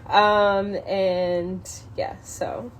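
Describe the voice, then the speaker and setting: A woman's voice: mid-range voice, little pitch movement, extremely slow, lo-fi sound, background noise. A young adult woman talking informally on a livestream, rambling in slangy, hesitant, self-conscious speech. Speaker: livestreamer introducing a book reading. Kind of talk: casual, unscripted livestream chat.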